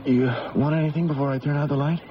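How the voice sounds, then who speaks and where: An actor playing an easygoing, skeptical husband in a 1970s radio drama, talking in a casual, teasing way. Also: male voice, on the high side, fairly even intonation, fast talker, soft background music, close to an everyday voice. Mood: indulgent. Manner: casual, wry, drawling hesitations. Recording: muffled, noisy old radio broadcast.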